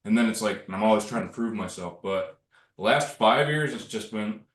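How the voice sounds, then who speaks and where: A man with a deep voice, a handyman, tells animated stories with self-deprecating humor and acts out what others say. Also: normal speed. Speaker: handyman or contractor. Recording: echoey room.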